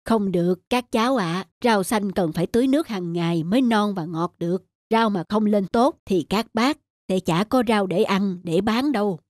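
A man's voice: sits high, normal speed, dry recording, studio quality, close to an everyday voice. A man voicing a tired, kindly older farmer in a children's cartoon, earnest and plain-spoken.

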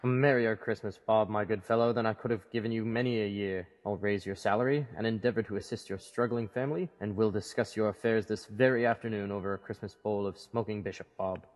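A man's voice: fairly low pitch, steady tone, a little fast, few pauses, a little mellow, lightly acted. A man playing a reformed old miser, now a hearty and courteous uncle, in a Victorian audio drama. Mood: joyful, earnest. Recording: long hall reverb, faint music behind.

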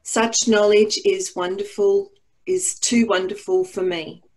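A woman reading a psalm at a church service, careful and somewhat halting in her delivery. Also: mid-range voice, poor audio. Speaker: psalm reader at an Anglican service. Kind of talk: scripture reading.

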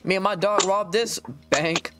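A man's voice: steady tone, a little slow, noisy background. A young man chatting casually while playing a game, his voice run through an auto-tune voice changer. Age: young adult. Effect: voice changer with auto-tune, pitch jumping. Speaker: gaming vlogger. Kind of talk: casual chat during gameplay. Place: indoor room at a gaming desk. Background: music.

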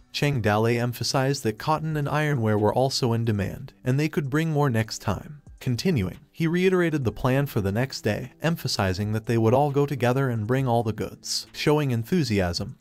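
A man reads out a long story recap at a middle pitch, neither high nor low. His delivery is steady and even, moving through scenes and reported dialogue in a level storytelling tone. It sounds like scripted narration rather than free talk.

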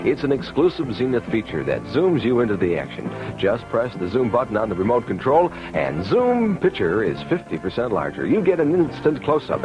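A man's voice: lowish voice, lo-fi sound. An American man reading a scripted 1970s radio commercial as an announcer. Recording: phone-band old radio commercial.

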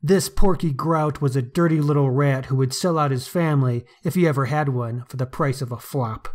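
A man's voice: medium pitch, moderate pace. A man co-hosting a podcast, discussing books casually in an articulate, wry way. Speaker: podcast co-host.

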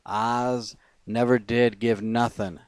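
A man reading poetry as a poet, in measured, deliberate phrases with pauses. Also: mid-range voice, little pitch movement, clear, resonant tone, dry recording.

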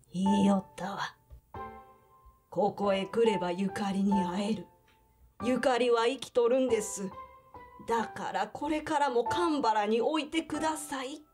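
An actress playing a plain-spoken grandmother in her eighties in an audio drama, reminiscing. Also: mid-range voice. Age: 80s. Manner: slow, reminiscing, folksy. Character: elderly Japanese grandmother, plain-spoken, reflective, devoted to family.

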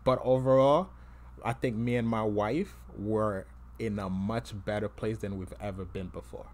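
A man in his thirties from Haiti, a guest therapist chatting on a podcast in a studio mic, relaxed and joking with frequent laughs. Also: lowish voice, normal speed. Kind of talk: casual podcast chat. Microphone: studio mic with headphones.

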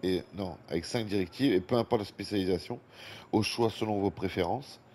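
A man's voice: deep voice, brisk, darkish tone. A man with a French accent, congested from a cold, chatting casually as he talks through a video game and reads viewer comments. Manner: chatty, breathes heavily at times. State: has a head cold, congested. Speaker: gaming YouTuber. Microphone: desk microphone with fan running nearby. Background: faint fan noise.

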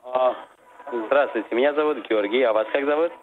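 A man's voice: mid-range voice, normal speed. A man working as a restaurant administrator, taking an order by phone in a patient, dry, polite way. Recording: tinny noisy phone line.

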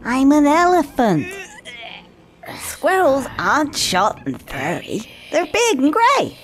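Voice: high-pitched, huge pitch swings, takes it slowly, a bit bright, full character voice. An actor voicing a sly, wisecracking squirrel in a cartoon video game, with sarcastic, exaggerated delivery. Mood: sly bluffing. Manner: sarcastic, bluffing, exaggerated intonation.

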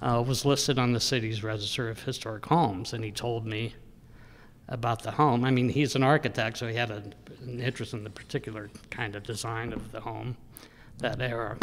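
Halting older man in an echoey hall. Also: mid-range voice, fairly even intonation. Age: 60s. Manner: halting, rambling delivery with frequent hesitations. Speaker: local history journal editor speaking at an event. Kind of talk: informal public talk at a podium. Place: indoor public room, speaking at a podium. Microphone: gooseneck podium microphone. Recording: echoey hall with long reverb.

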